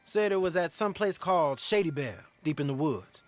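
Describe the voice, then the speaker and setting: A young actor playing an eager, cocky outlaw with a chatty, excitable manner. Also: male voice, a little high, fairly quick, slight background noise, close to an everyday voice.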